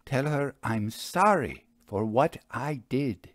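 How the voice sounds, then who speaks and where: A man voicing a troubled, solemn male magician in an audio story, speaking in a halting, deliberate way. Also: mid-range voice, expressive, no room sound, clean studio sound. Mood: grave, haunted. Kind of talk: audio storybook character acting.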